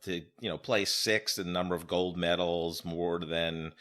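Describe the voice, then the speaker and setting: An American man hosting a podcast, asking questions in a casual, halting, somewhat rambling conversational way. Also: low voice, fairly even intonation, fairly bright tone. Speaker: podcast host, interviewer.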